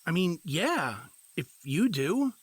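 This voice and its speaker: An actor as a sardonic, exasperated husband, animated pitch. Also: male voice, slow, husky, no echo, heavily acted. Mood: tender, wry. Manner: sarcastic, blustering. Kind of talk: audio drama dialogue.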